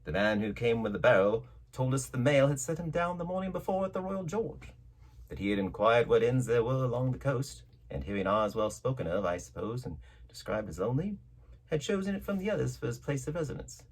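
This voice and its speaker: A man reading a classic story aloud as a narrator, with theatrical, measured phrasing and dramatic delivery. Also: mid-range voice, expressive, low-quality recording. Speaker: audiobook narrator.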